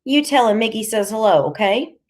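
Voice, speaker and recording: A gruff, scowling tough man as a woman audiobook narrator puts him on. He is bossy and menacing, speaking in curt streetwise slang as if every line were an order. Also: fairly high pitch, expressive, brisk, voice pushed up, natural voice.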